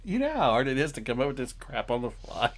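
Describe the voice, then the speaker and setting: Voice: medium pitch, sing-song, fast talker, a little hiss or hum. A host in casual comedic banter, teasing and often interjecting.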